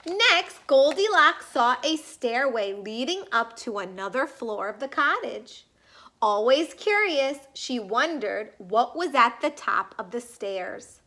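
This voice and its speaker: A woman narrates a children's story in a high, bright voice. She reads expressively and unhurriedly, with big swings of pitch. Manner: animated, deliberate storytelling with emphatic words.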